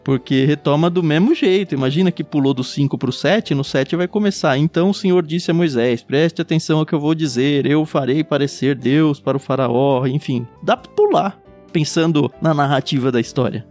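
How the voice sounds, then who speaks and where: Brazilian male preacher-host, mid-pitched, candid and teasing, faint guitar under him. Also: moderate pace, a little mellow. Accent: Brazilian Portuguese. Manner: candid, easygoing, light teasing. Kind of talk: casual Bible commentary chat.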